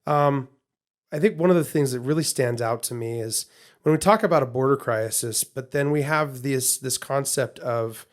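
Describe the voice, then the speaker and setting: A man co-hosting a podcast in loose, casual talk. He rambles, stumbles over his starts and repeats words as he works out his thought. His voice sits at an ordinary middle pitch. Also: moderate pace. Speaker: podcast co-host.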